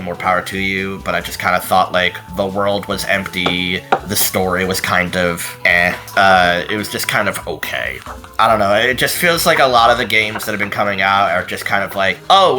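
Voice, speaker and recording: Low voiced guy doing casual channel talk, bright forward sound, music behind him. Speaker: channel host. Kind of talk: casual opinion chat with a co-host.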